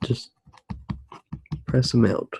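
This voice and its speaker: A soft-spoken man narrating his drawing in an ASMR style, unhurried and halting, with long pauses. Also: slight background noise. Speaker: ASMR artist demonstrating a drawing.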